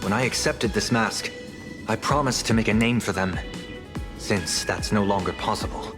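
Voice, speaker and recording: An actor voicing an earnest young male investigator in a video game, speaking in a measured, formal way. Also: on the low side, close to an everyday voice. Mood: solemn. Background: game music.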